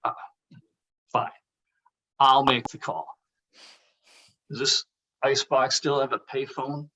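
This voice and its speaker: A man's voice: mid-range voice, level tone, slow, bright, forceful tone, low-quality recording. An actor playing an anxious, sardonic older man in a comedy table read, talking in a dry, hesitant, conversational way. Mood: nervous, hesitant.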